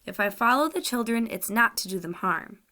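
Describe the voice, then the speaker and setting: A woman's voice: medium pitch, expressive, normal speed, a bit bright. A desperate young soldier begs earnestly, his voice rising and urgent, as a woman reader puts him on. He sounds lonely and frightened, pleading in a storybook reading. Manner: pleading, emotional.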